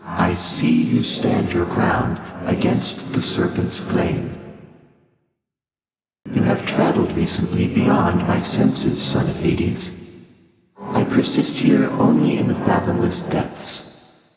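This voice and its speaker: A man voicing an ancient, detached primordial deity in a video game, speaking formally and deliberately with breathy, otherworldly layered processing. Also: fairly low pitch, big pitch swings. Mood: detached, curious. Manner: formal, measured, solemn, deliberate phrasing. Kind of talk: video game character dialogue. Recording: cavernous long reverb, loud game music behind.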